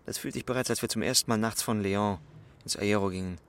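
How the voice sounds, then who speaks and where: A man's voice: on the low side, quick pace, slight background noise, lightly acted. A man acting a brooding, needling swindler in love, with an intense, probing manner, in a German radio play.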